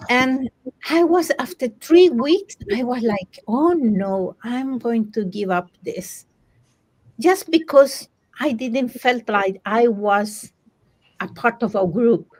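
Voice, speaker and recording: A woman from Panama with a Spanish accent, telling personal stories conversationally in halting, self-correcting English as a coach. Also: fairly high pitch, a little mellow, rough recording. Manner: halting, self-correcting second-language phrasing. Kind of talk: conversational personal storytelling in a group discussion.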